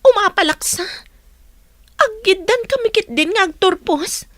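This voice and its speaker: Napino unay ti timekna a balasitang. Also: female voice, extremely high pitch, lively intonation, a little slow, a bit bright, no echo, studio quality, heavily acted. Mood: whiny, envious. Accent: Ilocano. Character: whiny, eager young daughter begging to study. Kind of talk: Ilocano radio comedy-drama acting.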